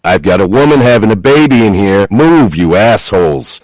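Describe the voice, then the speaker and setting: A man voicing a brash driver yelling out of a car window. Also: fairly low pitch, lively intonation, moderate pace, no echo, close to an everyday voice. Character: brash male cab driver, cheerful and loud.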